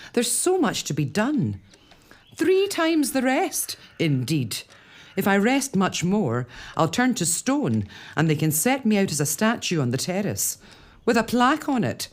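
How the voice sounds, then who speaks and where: A woman playing a young pregnant noblewoman in a period audio drama, earnest and wry. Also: mid-range voice.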